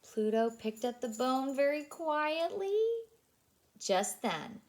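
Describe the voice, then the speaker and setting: Animated, higher-voiced woman reading to children. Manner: animated, asks listeners questions. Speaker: childcare storyteller. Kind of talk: expressive read-aloud for young children.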